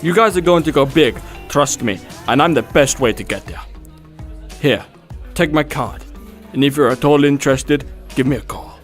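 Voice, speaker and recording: A man playing a flattering, persuasive music producer in an audio story, talking like a salesman. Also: medium pitch, normal speed, close to an everyday voice. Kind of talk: children's story character acting.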